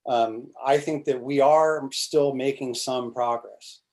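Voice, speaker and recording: A man's voice: mid-range voice, steady tone, slow pace, a little mellow. Man in his 40s, rambling, restarts a lot, swears casually, webcam audio. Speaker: congressional candidate guest. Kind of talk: casual video call chat. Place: small indoor room, plain wall. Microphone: video call webcam.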